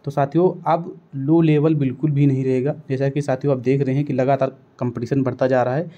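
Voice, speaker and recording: A man's voice: mid-range voice, little pitch movement, a little fast, mellow. A man from northern India giving an informal, instructive exam-coaching lesson, often addressing his listeners directly as friends. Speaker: exam-coaching teacher and channel host. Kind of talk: informal strategy lesson to students. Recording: dull, lo-fi sound.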